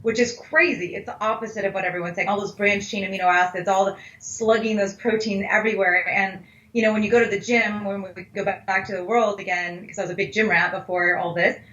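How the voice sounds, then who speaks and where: A woman's voice: mid-range voice, steady tone, fast, a bit bright. A woman speaking as a dietitian guest in a casual expert conversation, candid and emphatic. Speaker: plant-based dietitian, interview guest. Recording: echoey, slightly dull audio.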